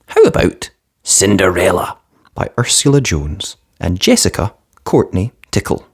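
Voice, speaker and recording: A man presenting and narrating a children's fairytale in an expressive read-aloud style. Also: low voice, measured pace, husky. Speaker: storybook narrator and channel presenter.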